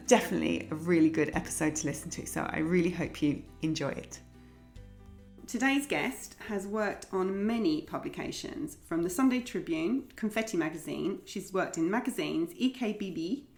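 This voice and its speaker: Upbeat woman hosting a podcast. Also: mid-range voice. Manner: upbeat, effusive, quick to compliment. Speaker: podcast host. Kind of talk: casual interview chat.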